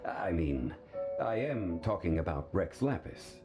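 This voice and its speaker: A deep, calm scholar. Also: male voice, music in the background. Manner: measured, composed, politely reasoning. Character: calm, learned man, composed consultant. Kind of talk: video game voice acting.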